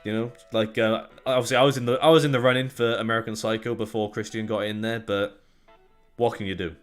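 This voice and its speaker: A man's voice: fairly low pitch, little pitch movement, fast talker, clean tone, faint music behind. A young man from Wales with a light Welsh accent, co-hosting a casual tech podcast in a chatty, joking, rambling way. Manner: chatty, jokey, rambling with restarts. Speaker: co-host.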